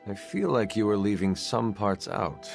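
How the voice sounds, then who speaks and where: Low, creaky, reserved hunter. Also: male voice, lively intonation, moderate pace, soft background music. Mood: curious, reserved. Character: guarded, plain-spoken hunter, a superhero newcomer. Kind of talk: video game cutscene acting.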